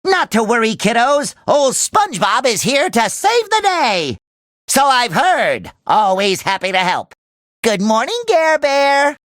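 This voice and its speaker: A man voicing a cheerful, naive young talking sponge in a cartoon video game, with a squeaky, nasal, exaggerated delivery. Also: sing-song, measured pace, fairly bright tone, no echo, clean studio sound, heavily acted. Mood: delighted. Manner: exaggerated, earnest, eager delivery.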